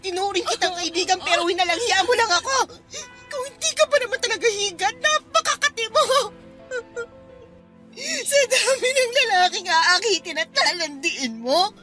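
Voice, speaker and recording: A wronged, furious Filipina girlfriend in a radio melodrama. Her very high voice swings wildly as she hurls tearful accusations, over prominent music. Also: lively intonation, moderate pace. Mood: tearful fury. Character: betrayed, furious live-in girlfriend with a guilty secret. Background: prominent dramatic music.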